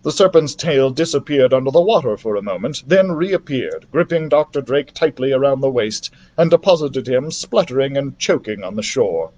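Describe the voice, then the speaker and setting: Animated man reading a storybook aloud. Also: mid-range voice, moderate pace, few pauses. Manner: theatrical, expressive. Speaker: library storytime reader. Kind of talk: dramatic read-aloud of a children's adventure story.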